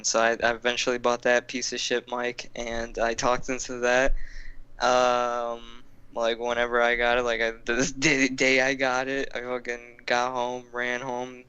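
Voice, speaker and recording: A man's voice: mid-range voice, bright, forceful tone, smooth, dull audio. A young man casually telling an old story on a podcast, rambling and swearing, with many fillers and restarts. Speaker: podcast co-host.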